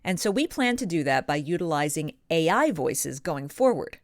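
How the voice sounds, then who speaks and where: Woman, lowish voice, dry studio sound, scripted vlog host, emphatic and deliberate delivery, pointed pauses. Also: sing-song, no room sound. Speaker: host, professional voice actor. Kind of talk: direct-to-audience scripted vlog monologue.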